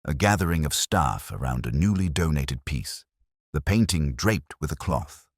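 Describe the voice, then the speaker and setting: A man narrating a scripted detective story in the first person, with a measured, reflective, literary delivery. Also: rumbling low, expressive, dry recording, studio quality.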